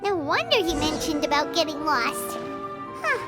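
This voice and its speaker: A tiny fairy sidekick from a video game, with an extremely high, squeaky child's voice. The delivery is excitable and indignant, full of exclamations and wide swings in pitch. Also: female voice, normal speed. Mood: curious. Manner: exclamatory, speaks of self in third person. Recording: music behind the voice.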